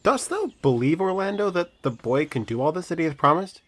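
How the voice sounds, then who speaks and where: A man's voice: a little high, sing-song, fairly quick. A man acting a dignified older duke and father in a Shakespearean radio play. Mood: stately, curious.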